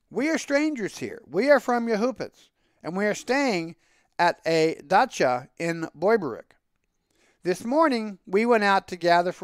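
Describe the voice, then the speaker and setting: A man's voice: high voice, clear, resonant tone, dry recording, studio quality, pitched above the performer's own voice. A man voicing a flustered, chatty townswoman lost in a storybook forest, exasperated and explaining herself.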